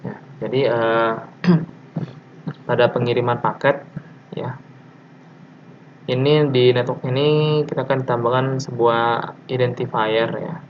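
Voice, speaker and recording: Dull lo-fi recording, faint noise, man, mid-pitched voice, informal technical presentation, halting delivery with frequent pauses. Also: steady tone. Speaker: presenter explaining technical material. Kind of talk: informal lecture presentation over slides.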